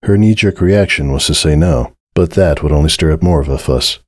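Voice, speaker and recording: A man's voice: extremely low pitch, a little fast, dry recording, studio quality. A man narrating a scripted story as a dramatic reading.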